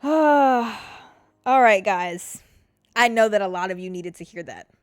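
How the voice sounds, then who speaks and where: A woman's voice: mid-range voice, expressive, measured pace, no echo, clean studio sound. Profane, blunt young woman podcast host. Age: 20s. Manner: blunt, profane, pep-talk delivery; addresses listeners as babe, girl, bitch. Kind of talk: casual motivational monologue.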